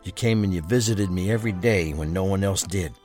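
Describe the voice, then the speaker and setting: An actor playing a loyal, earnest gangster, the trusted right hand of a crime boss, in an audio drama. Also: male voice, sits low, brisk, a little mellow, faint music behind, close to an everyday voice. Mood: grateful, devoted.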